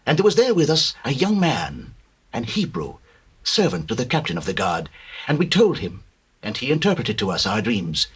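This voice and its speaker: Humble servant to a king, british accent, raspy midrange voice, very expressive old bible drama. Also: mid-range voice, heavily acted. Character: contrite royal servant, a humble chief butler. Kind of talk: dramatized scripture acting in archaic English.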